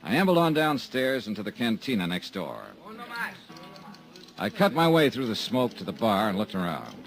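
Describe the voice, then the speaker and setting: A man's voice: lowish voice, big pitch swings, moderate pace, over music. A middle-aged man playing an insurance investigator, narrating a 1950s radio drama in a dry, wry, hard-boiled manner.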